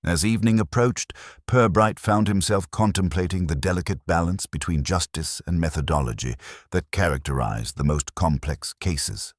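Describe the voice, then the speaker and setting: A man reading a detective mystery as scripted narration, in a measured, formal storytelling manner. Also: deep voice, no room sound, studio quality.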